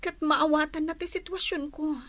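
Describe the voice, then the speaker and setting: An actress playing a troubled, hurt young daughter in a Filipino radio drama. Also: female voice, high-pitched, flat delivery, moderate pace, a bit bright, no room sound. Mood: hurt, earnest.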